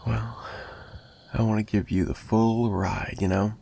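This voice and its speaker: A man's voice: lowish voice, level tone, measured pace, vocal fry, mellow. A man playing a possessive, menacing mafia boss in an ASMR roleplay, taunting the listener with theatrical mock sweetness and threats. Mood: mock-apologetic, obsessive. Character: possessive, menacing young male mafia boss, obsessive kidnapper. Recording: noticeable background noise.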